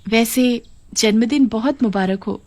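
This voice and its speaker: Woman playing a high, girlish cartoon schoolgirl, soft and pleading. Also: unhurried, no room sound, close to an everyday voice. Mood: gentle. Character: shy lonely young schoolgirl.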